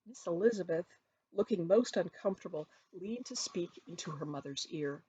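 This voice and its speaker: A woman reading her novel aloud as expressive storybook narration, with dramatic, emphatic phrasing. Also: lowish voice, normal speed, a little hiss or hum, rough recording. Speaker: author reading from their own novel.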